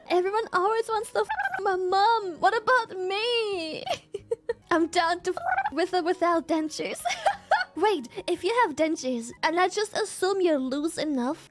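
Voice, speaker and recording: A woman streamer chatting crudely and teasingly with her viewers, laughing often. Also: very high voice. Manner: giggly, teasing, breaks into frequent laughter. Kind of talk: crude casual chat with viewers.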